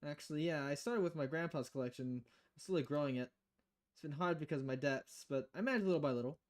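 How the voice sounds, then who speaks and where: A woman's voice: sits low, fast, pitched below the performer's own voice, close to an everyday voice. A woman voicing a polite, reserved young woman bartender in a game dialogue reading. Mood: polite, subdued.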